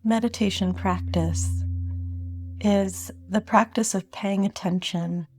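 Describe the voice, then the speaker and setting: A woman guiding a meditation, speaking gently and unhurriedly with long pauses between phrases. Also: mid-range voice, steady tone. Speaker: meditation guide. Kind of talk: guided meditation. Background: meditative singing bowl and sine-tone music.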